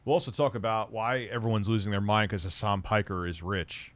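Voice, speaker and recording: A man's voice: on the low side, fairly even intonation, fairly quick, fairly bright tone, no room sound. A man hosting a casual tech livestream, reading viewer comments in a rambling, profane way and laughing often.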